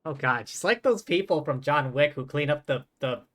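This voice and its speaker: Casting: casual gameplay streamer, medium-pitched voice, wry and brief remarks. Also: expressive, moderate pace, fairly bright tone. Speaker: game streamer. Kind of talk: casual live gameplay commentary.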